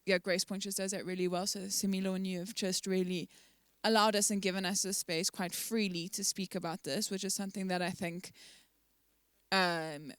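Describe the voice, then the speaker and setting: A woman from the congregation speaking earnestly and in a measured way in a church panel discussion. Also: mid-range voice, level tone, clear, resonant tone, dry recording. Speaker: church member.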